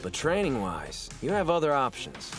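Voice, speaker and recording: An actor playing a confident, smooth-talking teenage club member in an anime dub, polite but sly. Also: male voice, a little high, huge pitch swings, normal speed, over music. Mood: composed.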